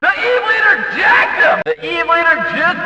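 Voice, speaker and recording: A man playing a rowdy, over-excited demolition derby announcer in a racing video game, hollering exclamatory, gleeful commentary. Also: very high-pitched, moderate pace, heavy reverb, in a large hall, recorded from a distance, very noisy. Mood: thrilled, hollering. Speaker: race announcer.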